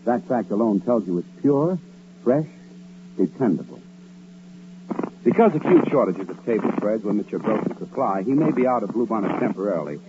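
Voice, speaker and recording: An American man reading a 1940s radio advertisement with an emphatic, measured sales delivery. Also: lowish voice, lively intonation. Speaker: commercial announcer. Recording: tinny, noisy old radio recording.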